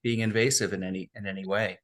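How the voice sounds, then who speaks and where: A man with a fairly low voice hosts a conversation over a remote link. He works through his questions slowly and hesitantly, often stopping to rephrase. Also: steady tone, fairly bright tone. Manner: hesitant, frequent fillers and restarts. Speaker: interview host. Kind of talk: remote interview.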